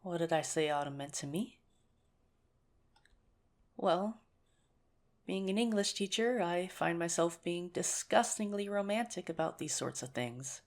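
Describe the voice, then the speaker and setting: A woman playing a nerdy, earnest young teacher shyly courting a crush in a conversational ASMR romantic roleplay, gently self-mocking and a little hesitant. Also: on the low side, lively intonation, unhurried, long pauses. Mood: tender, earnest.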